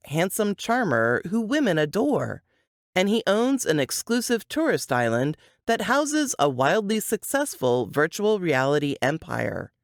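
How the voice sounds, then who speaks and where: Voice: medium pitch, no room sound, clean studio sound. An adult voice reading a book blurb as scripted narration in a dramatic style.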